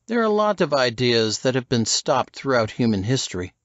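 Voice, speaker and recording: A man voicing a tense, methodical man in an audiobook, grave and deliberate with his colleagues. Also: mid-range voice, very animated, a bit bright, no echo, lo-fi sound. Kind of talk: audiobook character dialogue.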